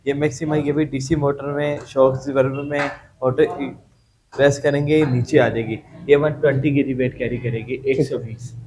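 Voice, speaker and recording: Brief: male salesman, Pakistani Urdu accent, polite sir-heavy pitch, faint room hum behind. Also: steady tone, normal speed. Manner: polite, addresses the host as sir. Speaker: shop salesman. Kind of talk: product explanation. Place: indoor gym equipment showroom.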